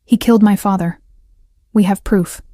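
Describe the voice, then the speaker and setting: A woman's voice: mid-range voice, fairly even intonation, moderate pace, dark tone, no echo, close to an everyday voice. A woman voicing a determined young woman seeking revenge, speaking firmly and sharply. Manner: firm and cutting.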